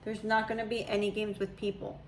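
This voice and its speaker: Rambling, laughing young woman in a casual chat with her daughter, talking in a middle-pitched voice. There is a faint hiss of room noise behind her. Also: little pitch movement, brisk. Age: young adult. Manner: chatty, rambling, laughs often. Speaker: mother.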